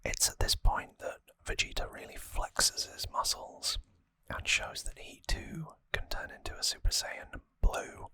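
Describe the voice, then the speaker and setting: ASMR narrator: fully whispered, breathy, informal and explanatory, close-miked with no room sound. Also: a little slow, dry recording. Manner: soft-spoken, informal, explanatory. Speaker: ASMR host. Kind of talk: ASMR whispered story recap. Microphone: close microphone, dry.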